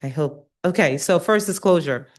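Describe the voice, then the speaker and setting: A woman's voice: low-pitched, normal speed. A middle-aged woman from Ghana giving an academic lecture, speaking earnestly with frequent "um" and "uh" fillers. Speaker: guest lecturer, nursing researcher.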